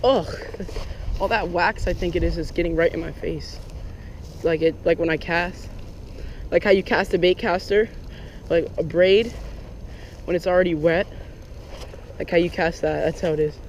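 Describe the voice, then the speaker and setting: A young man giving casual, excitable running commentary while fishing from a lake bank, recorded on a head-mounted action camera. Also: a little high, normal speed, pauses often, a little hiss or hum, low-quality recording. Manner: chatty, excitable, lots of "like" and "oh my gosh". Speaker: fishing vlogger. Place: outdoors on a lake bank in Florida.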